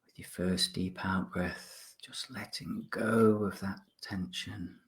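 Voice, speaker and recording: A man with a fairly low voice guides a body scan meditation as a mindfulness instructor. He gives polite instructions in short phrases, leaving pauses between them. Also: fairly even intonation.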